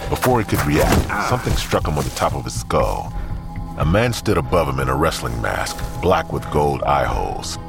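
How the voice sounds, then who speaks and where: A man's voice: rumbling low, very animated, moderate pace, vocal fry. A man narrating a scripted audio drama as a storyteller. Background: music.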